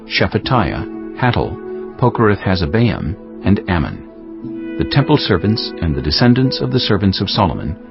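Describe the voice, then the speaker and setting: A man narrating scripture for an audiobook in a measured, formal manner. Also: sits low, creaky. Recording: dull lo-fi audio under prominent music.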